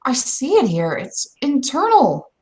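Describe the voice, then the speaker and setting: Combative she-wolf warrior, played by a female gamer: blunt, insistent, scornful, fairly high voice, tabletop roleplay. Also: wildly swooping pitch, a little slow, a little mellow, heavily acted. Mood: scornful, frustrated.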